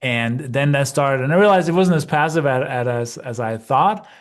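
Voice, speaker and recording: A man's voice: medium pitch, moderate pace. A man in his fifties, originally from Denmark, chatting easily as an interview guest and peppering his talk with "you know" and "right?". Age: 50s. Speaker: guest, real estate investor and coach. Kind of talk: casual interview conversation.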